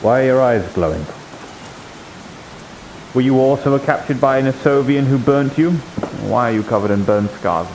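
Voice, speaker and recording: A man playing a shrewd, probing member of an abbey in improvised roleplay, speaking in a measured, insinuating, formal way. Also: mid-range voice, animated, darkish tone, close to an everyday voice, low-quality recording. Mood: probing, suspicious. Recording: hissy live stream microphone.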